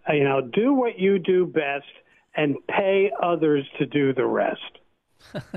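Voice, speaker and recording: A man's voice: a little high, lively intonation, measured pace, gravelly, dry recording. A man calling in to a radio show over a narrow phone line, chatting casually with wry teasing. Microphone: telephone.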